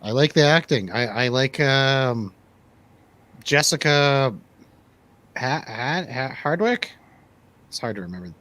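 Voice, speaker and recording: A man with a mid-pitched voice hosts a movie review show. He chats casually and jokes around, playfully bantering as he goes. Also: animated, slow, pauses often, fairly bright tone. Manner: jokey, playful. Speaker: radio show host and DJ.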